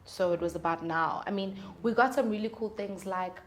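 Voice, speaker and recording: A woman's voice: mid-range voice, fairly quick. A woman, speaking as a musician guest in candid, conversational interview storytelling. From South Africa.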